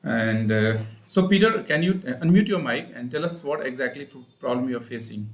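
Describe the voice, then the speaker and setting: A man's voice: mid-range voice, lively intonation, moderate pace. Indian male speech therapist hosting a live stream, hesitant, echoey sound. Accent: Indian English. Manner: hesitant, many fillers and restarts. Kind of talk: live online session, explaining. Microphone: online call. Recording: roomy echo.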